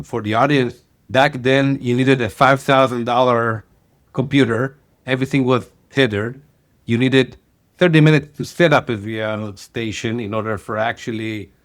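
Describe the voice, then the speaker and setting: A man in his forties with an Israeli accent speaks as a podcast guest. He talks at a middle pitch, pausing often and filling gaps with "uh" as he explains. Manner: halting, many pauses and "uh" fillers. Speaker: guest, company founder. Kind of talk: podcast interview.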